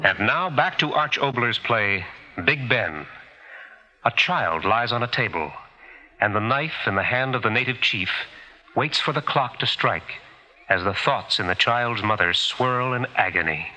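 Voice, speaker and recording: A male announcer narrates in a 1960s radio drama style. His mid-pitched voice is very bright and forceful, delivered in measured, portentous phrases. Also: lively intonation, poor audio.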